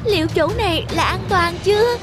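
A performer voicing a small, anxious snail in an animated fairy tale, fretful and given to exclaiming. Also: very high voice, a little fast, music in the background. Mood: frightened. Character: small anxious snail, easily frightened, eager to survive.

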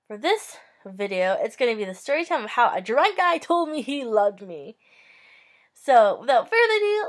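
A young woman telling a story to her webcam in a casual, upbeat vlog, gesturing as she talks from a small bedroom. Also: medium pitch. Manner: chatty, upbeat, animated hand gestures. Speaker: vlogger. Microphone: webcam at close range.

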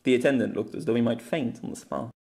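A man's voice: mid-range voice, quick pace, vocal fry, a little mellow. A man reading a scripted fantasy story aloud as an audiobook narrator.

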